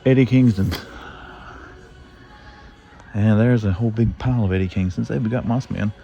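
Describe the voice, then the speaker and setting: A man's voice: lowish voice, normal speed, vocal fry, mellow, dull audio, soft background music. A middle-aged man with a Southern drawl, chatting to himself as he walks store aisles hunting for toys for his vlog. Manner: chatty, rambling, talks to himself while browsing shelves. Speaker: toy collector vlogger. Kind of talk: casual walk-through vlog.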